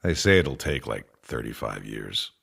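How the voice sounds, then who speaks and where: An actor playing a weary, bitter, irritable man in a sci-fi audio drama, with a rough, muttering delivery. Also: extremely low pitch, very animated, measured pace, a bit bright, heavily acted. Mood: grim, matter-of-fact. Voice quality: gravelly, rough.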